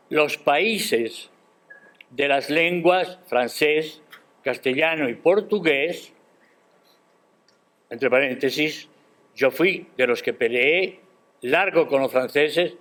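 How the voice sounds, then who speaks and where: An older man giving a formal academic speech at a university ceremony, measured and emphatic, posing rhetorical questions. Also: on the high side, a bit bright. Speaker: guest speaker at a university ceremony. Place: university auditorium.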